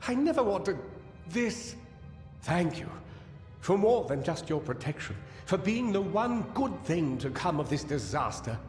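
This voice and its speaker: An actor playing a weary, guilt-ridden, paranoid gnome scholar in a fantasy video game, theatrical and anguished, halting as he muses. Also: high voice, sing-song, a little mellow. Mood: weary, regretful. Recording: echoey cavern-like reverb over music.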